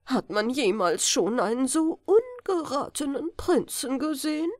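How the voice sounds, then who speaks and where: A woman's voice: sits high, very animated, unhurried, no echo, studio quality, pitched above the performer's own voice. A woman voicing a grumpy king in a fairy tale, complaining indignantly as an exasperated father.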